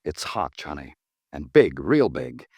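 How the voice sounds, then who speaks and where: An actor playing a stubborn, world-weary reporter in a 1950s American radio drama, wry and determined. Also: male voice, extremely low pitch, sing-song, a little slow, a little mellow, husky, no room sound, full character voice. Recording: vintage 1950s radio recording.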